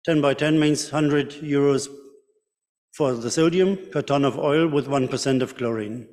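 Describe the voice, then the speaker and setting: A middle-aged man answering technical questions at a conference, explaining piece by piece with hesitant, deliberate phrasing. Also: mid-range voice, darkish tone. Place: large ornate hall, indoors. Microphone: podium microphone. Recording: reverberant conference hall.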